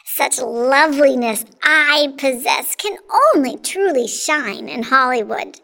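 A woman's voice: sits high, takes it slowly, vocal fry, sharp tone, exaggerated voice. A woman voicing a vain, bossy, nasty aunt from a children's storybook, theatrical in her gushing and scolding. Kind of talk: children's storybook character reading.

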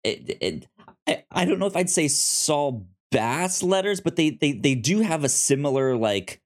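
A man hosting a casual review podcast, speaking in halting, conversational phrases that often double back on repeated words. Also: on the high side, very animated. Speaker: podcast host.

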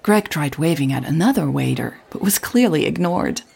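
A woman with a husky, breathy, creaky voice narrating scripted audio fiction as a podcast host. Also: deep voice, expressive, moderate pace, darkish tone.

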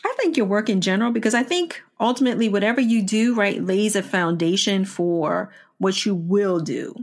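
A woman's voice: medium pitch, normal speed. A middle-aged woman with an American accent, interviewing conversationally in an effusive, rambling way and often checking for agreement. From Washington DC, United States.

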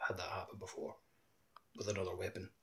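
A man's voice: low voice, completely flat delivery, fast talker, sharp tone, husky. A man chatting casually as a co-host on a gaming podcast.

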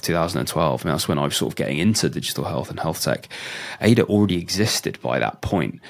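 Low-voiced enthusiastic male podcast host. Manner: chatty, enthusiastic, frequent ums and restarts. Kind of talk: podcast intro and interview.

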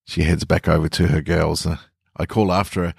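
A man's voice: sits low, level tone, fairly quick, gravelly, slightly rough, dry recording, clean studio sound, full character voice. A man reading a first-person story as a shy, lovestruck young man, awkward and awestruck. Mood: flustered.

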